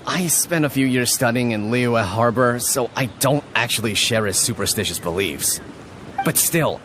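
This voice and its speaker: A man's voice: medium pitch, noisy background, lightly acted. A man voicing an earnest village man who explains himself apologetically and stammers when flustered. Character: earnest young village man, dutiful son. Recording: game cutscene with loud background music.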